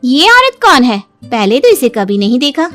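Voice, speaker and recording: A woman's voice: high-pitched, big pitch swings, normal speed, fairly bright tone, soft background music. A woman playing a nosy, suspicious village woman in a dramatised story, easily alarmed and dramatic.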